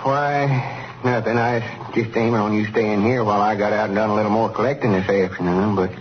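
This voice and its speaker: A man's voice: lowish voice, fairly even intonation, a little fast, lightly acted. A muffled, noisy old radio recording carries a man acting as a rural Southern storekeeper. He is earnest and considerate, with a folksy drawl suited to 1930s comedy. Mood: hesitant, concerned.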